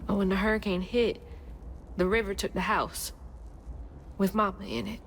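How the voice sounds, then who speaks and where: A woman's voice: medium pitch, fairly even intonation, normal speed, slight background noise, close to an everyday voice. A woman playing an earnest, caring young heroine in a video game, acted naturalistically. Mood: gentle, concerned. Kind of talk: naturalistic game drama acting. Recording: music behind the dialogue.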